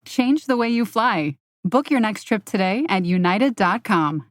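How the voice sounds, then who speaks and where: A woman's voice: mid-range voice, expressive, measured pace, no echo. Dry-recorded female ad announcer. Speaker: advert announcer. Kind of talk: scripted advert voice-over.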